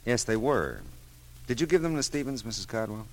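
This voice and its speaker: An American actor playing a dry, persistent private detective in an old radio drama, with a wry, clipped, hard-boiled delivery. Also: male voice, medium pitch, sing-song, fairly quick, poor audio. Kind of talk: 1940s-50s radio detective acting with first-person narration. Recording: old radio show, faint music.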